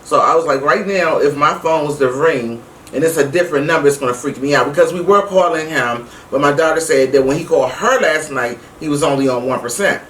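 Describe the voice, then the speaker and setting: A middle-aged woman telling a personal story casually to her viewers, emphatic and animated, acting out the dialogue as she goes. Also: low-pitched, brisk, hardly any pauses. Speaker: vlog host. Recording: roomy, distant mic with faint noise.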